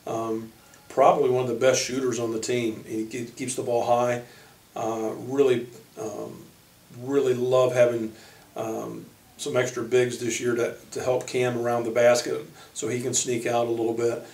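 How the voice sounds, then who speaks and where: A man who coaches college basketball, giving informal player profiles in a halting way, pausing mid-sentence. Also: medium pitch, level tone, a little mellow. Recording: roomy echo, distant microphone.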